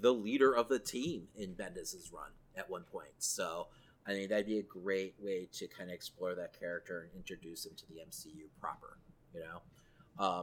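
A man co-hosting a podcast, chatting casually and thinking aloud as he goes. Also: lowish voice. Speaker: podcast co-host. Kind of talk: casual chat about comic book films.

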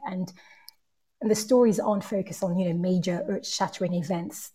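A woman's voice: lowish voice, dark tone, husky. A woman podcaster explaining her work in a panel discussion.

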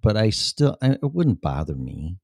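A man's voice: on the low side, sing-song, moderate pace, gravelly, darkish tone, no echo. A man with a Chicago accent hosting a casual chat show, rambling and blunt, with plenty of swearing. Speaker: show host. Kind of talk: casual livestream chat with viewers.

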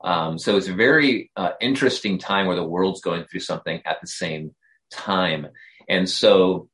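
Very low-voiced man musing on faith. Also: normal speed, fairly bright tone, rough recording. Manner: reflective, hesitant with many fillers. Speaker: podcast co-host, coach. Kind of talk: faith podcast conversation.

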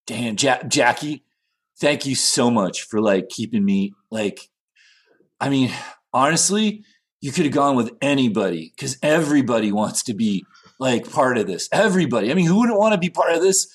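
A man playing an insecure, aging rock singer in an improvised scene, rambling and stammering in a husky voice. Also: mid-range voice, lively intonation, moderate pace. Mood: gushing, grateful. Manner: rambling, stammering, repetitive, sweary. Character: needy, insecure aging male rock singer, past his prime. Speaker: actor in an improvised theater scene. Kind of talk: improvised monologue and dialogue acting.

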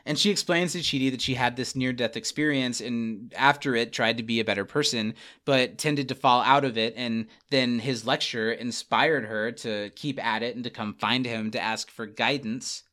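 A man's voice: medium pitch, normal speed, hardly any pauses, sharp tone. Young guy podcast host rambling through a tv recap, mid voice, does little quote bits. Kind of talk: casual TV recap chat.

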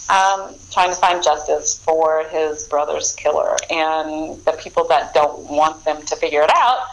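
A woman's voice: deep voice, a little slow, poor audio. A woman author talking casually about her books in an interview, rambling with fillers and frequent laughs. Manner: rambling, lots of ums and restarts, laughs often. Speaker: author guest.

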